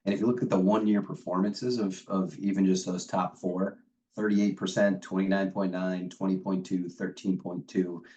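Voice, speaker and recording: A man with a cold, his voice deeper than usual, giving a macro update and reading figures off slides in a webinar. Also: little pitch movement, normal speed, a little mellow, recorded from a distance, dull audio. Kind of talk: webinar presentation.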